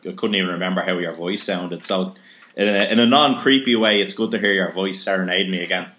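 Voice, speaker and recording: A man's voice: low voice, brisk, sharp tone. A man hosting a casual podcast interview, chatty and reading news items aloud. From Ireland. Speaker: podcast host. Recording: echoey room.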